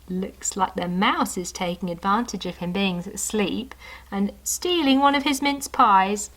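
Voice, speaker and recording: A woman narrating a children's storybook in an animated style, with chatty asides to young listeners. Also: medium pitch, slow pace, poor audio.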